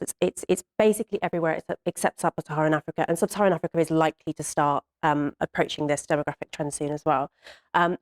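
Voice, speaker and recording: A woman's voice: low-pitched, a little mellow. A woman speaking on a panel, casual and chatty. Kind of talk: panel discussion.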